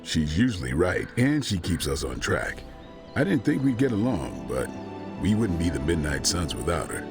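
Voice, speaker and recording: A gruff, stoic vampire hunter from a video game, with an extremely low voice that moves in wide swings. He is terse and deadpan, blunt but loyal. Clear music plays underneath. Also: normal speed. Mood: guarded, fond. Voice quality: very deep. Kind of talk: video game cutscene dialogue.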